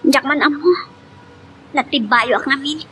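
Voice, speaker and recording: A woman playing a trusting young wife and mother in a love drama, high and bright with an Ilocano accent. Some noise sits behind the voice. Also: measured pace. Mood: matter-of-fact.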